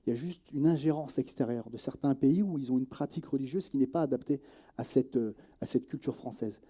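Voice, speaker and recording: Dark, mellow-voiced man speaking haltingly. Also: mid-range voice. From Nièvre, France. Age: about 40. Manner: halting, searching for words, repeating phrases. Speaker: journalist and activist interviewee. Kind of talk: earnest spoken testimony in an interview.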